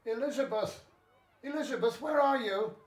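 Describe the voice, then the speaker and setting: An actor playing an elderly temple priest in a radio play, anxious and devoted, with an earnest, tender way of talking. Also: male voice, very high-pitched, moderate pace, husky. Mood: concerned.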